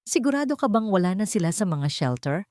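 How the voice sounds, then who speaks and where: A cold, scheming Filipino society woman, as a female storyteller plays her. She is haughty, irritable and demanding, with lively intonation. The sound is dry and close. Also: mid-range voice, a little fast, no room sound, natural voice. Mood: irritated.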